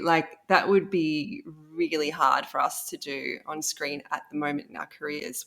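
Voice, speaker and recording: A woman's voice: deep voice, fairly bright tone. A woman chatting casually as a guest in an interview. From Australia. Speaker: podcast guest, audio drama co-creator. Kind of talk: casual chat.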